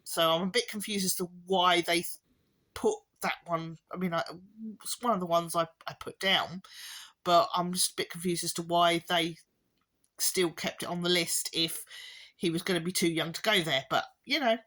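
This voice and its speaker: A woman with a hoarse, fading voice from a chesty cough, giving a rambling, casual update to her viewers with wry little laughs. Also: on the low side, fairly even intonation, moderate pace, a bit bright. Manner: rambling, with many ums and restarts, occasional wry laughs. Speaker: parent vlogger. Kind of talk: casual spoken update to camera.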